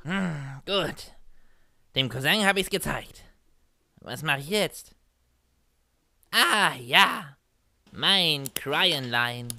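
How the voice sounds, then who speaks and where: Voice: mid-range voice, expressive, very slow, gravelly, pauses often, pushed and bright, full character voice. An amateur performer voicing a cocky, jealous cartoon pony gamer in a fan audio drama, whiny and boastful. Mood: cocky, flirty.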